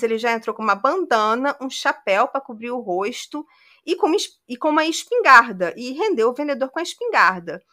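A woman's voice: sits high, bright, forceful tone. A woman hosting a podcast, recounting a true-crime case in a casual conversational way. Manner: conversational retelling with frequent "né". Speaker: podcast host.

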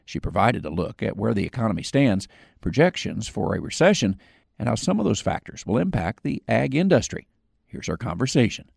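A man hosting a farm radio program, asking questions in a measured, conversational way. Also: on the low side, very animated, gravelly, darkish tone, husky, no room sound, studio quality. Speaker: host of farm radio show. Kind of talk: radio interview.

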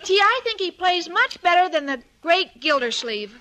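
A woman's voice: very high voice, big pitch swings, moderate pace, very noisy. An actress playing a sweet, naive, scatterbrained young woman in a 1940s radio comedy, earnest and oblivious, on a vintage recording. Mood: naive, cheerful.

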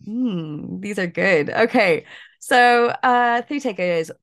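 A woman guest, a yoga and mindfulness coach, talking in a relaxed way with lots of fillers while stuffed up and a bit croaky. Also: mid-range voice, slow. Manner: relaxed, many fillers like "like" and "you know". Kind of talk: casual interview talk, guiding an exercise.

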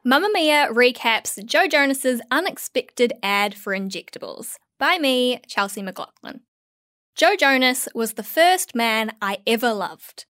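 A woman's voice: a little high, lively intonation, a little slow, a bit bright, no echo. A woman of about thirty reading her own article in a wry, tongue-in-cheek way. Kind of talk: scripted first-person narration.

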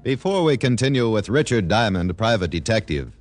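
A man delivering emphatic, measured sales patter as an announcer on a mid-century radio show. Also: fairly low pitch, big pitch swings, loud music behind the voice. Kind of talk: 1940s-50s radio commercial announcing.

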